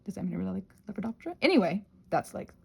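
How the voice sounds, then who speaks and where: Animated woman rambling playfully, slightly husky. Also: medium pitch, rapid-fire. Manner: playful, self-deprecating, often giggly while talking; digressive, thinking aloud while working with hands. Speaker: craft and ASMR channel creator, host. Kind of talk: casual rambling craft chat.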